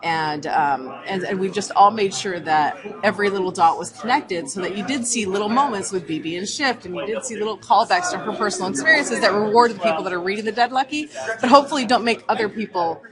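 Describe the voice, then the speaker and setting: Female interview guest, informal and explanatory, medium pitch, with convention crowd chatter around her. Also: fast. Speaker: comic writer, interview guest. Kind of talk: casual interview answers. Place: convention floor, recorded live. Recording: busy convention crowd behind.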